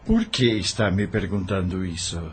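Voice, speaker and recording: An actor playing a soft-spoken, worried middle-aged doctor talking tenderly with his daughter in an audio drama. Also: low voice, moderate pace, breathy edge. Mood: gentle. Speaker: father in radio drama.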